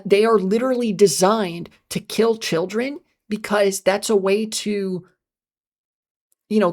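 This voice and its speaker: A woman hosting a casual livestream, her voice slightly raspy and strained from long talking, speaking in halting bursts with many fillers and restarts. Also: medium pitch, darkish tone. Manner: halting, many fillers and restarts, talks fast then slows. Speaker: livestream host. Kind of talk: casual livestream chat, reading chat and rambling on.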